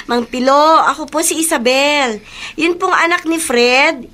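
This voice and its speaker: A Filipino actress playing a gentle, polite grown daughter in an emotive, hesitant radio drama style. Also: female voice, high voice, slow. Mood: polite, curious. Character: Isabel, gentle grown daughter returning to her childhood slum. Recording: noticeable background noise.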